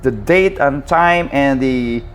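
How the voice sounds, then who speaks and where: A man with a Filipino accent giving an informal hands-on equipment tutorial, speaking haltingly and repeating himself as he addresses viewers casually. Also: medium pitch. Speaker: seaman presenting a tutorial. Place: ship's bridge. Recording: clear ambient background noise.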